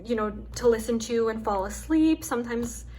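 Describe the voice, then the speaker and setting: A young woman with a soft, calming voice chatting casually and rambling with lots of fillers as she hosts a craft video at home. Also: a little high, moderate pace. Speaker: craft channel host. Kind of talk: casual chat while chopping wax. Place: home with her dog nearby.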